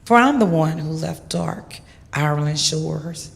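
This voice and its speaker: A woman's voice: low-pitched, vocal fry. Woman dramatically reading poetry in echoey hall. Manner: emphatic, deliberate phrasing. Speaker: poetry reader. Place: large church hall.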